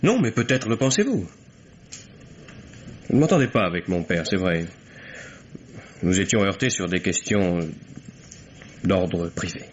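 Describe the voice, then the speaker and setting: A lowish-voiced guarded bourgeois son in a vintage radio mystery. He is formal and hesitant, turning curt, with bitterness underneath. The sound is dull and slightly noisy. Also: expressive, a little slow, pauses often. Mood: defensive, bitter. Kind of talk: old French radio mystery acting. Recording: dull, noisy old radio drama recording.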